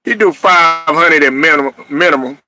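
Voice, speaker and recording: A man hosting a casual sports talk show, animated and trash-talking, often laughing and hollering. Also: on the high side, fairly quick, slight background noise, low-quality recording. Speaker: podcast host.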